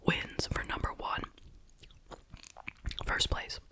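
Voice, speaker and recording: A woman speaking in a breathy, unvoiced whisper, chatting and musing through an ASMR food review. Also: takes it slowly. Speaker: host reviewing snacks.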